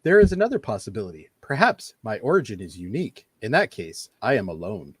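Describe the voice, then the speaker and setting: Guy doing a precise literal android officer, husky, swoopy pitch, dry close sound, radio play. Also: very animated, no room sound. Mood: earnest, pondering. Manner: formal, measured, wordy phrasing. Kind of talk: fan radio play acting.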